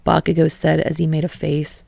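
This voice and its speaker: Woman, lowish voice, gravelly fry, dry close sound, scripted fiction narration. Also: monotone, brisk, dark tone, no room sound. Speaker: audiobook narrator.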